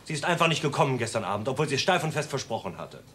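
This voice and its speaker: A man's voice: medium pitch, very animated, fairly bright tone. An actor playing an indignant, agitated man complaining in a 1960s German television thriller. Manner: agitated, rapid. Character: indignant, stood-up man complaining about a woman. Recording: old TV soundtrack, faint noise.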